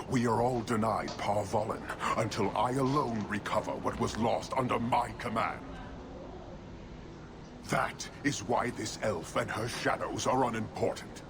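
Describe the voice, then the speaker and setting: An actor playing a stern, proud giant military commander in a video game, speaking in a grave, formal, declamatory way. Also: male voice, on the low side, noisy background. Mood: rising anger.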